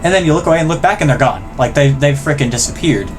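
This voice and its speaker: A man's voice: fairly high pitch, expressive, fast talker, a little hiss or hum. A man hosting casual reaction commentary, joking and self-deprecating, with loose, sweary phrasing. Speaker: video host.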